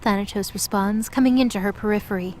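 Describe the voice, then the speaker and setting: A woman's voice: medium pitch, quick pace, darkish tone. Woman narrating a story over noise. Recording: clearly noisy background.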